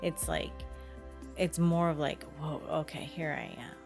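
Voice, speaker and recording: A woman co-hosting a podcast, gently guiding and asking curious questions in casual chat. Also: deep voice, fairly even intonation, unhurried, over music. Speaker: podcast co-host, coach.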